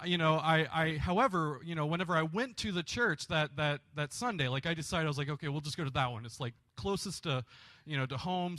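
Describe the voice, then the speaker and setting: A man's voice: a little high, sharp tone, dry recording. Self-deprecating man with a Michigan accent, fairly dry sound. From Michigan, USA. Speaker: pastor, guest speaker. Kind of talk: casual personal testimony to a church audience.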